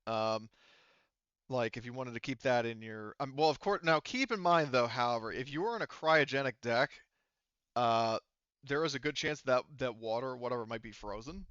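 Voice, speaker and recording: A man speaking as game master, explaining a tabletop roleplaying game in a casual, halting way. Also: mid-range voice, a bit bright, clean tone, no echo, lo-fi sound.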